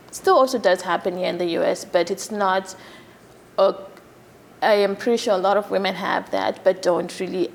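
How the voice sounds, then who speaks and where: A woman surgeon from Zimbabwe in her thirties, giving a TV interview in a soft, hesitant way with many fillers. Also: mid-range voice, vocal fry, a little hiss or hum. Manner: soft-spoken, many fillers, laughs easily. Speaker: gynecologic surgeon, guest.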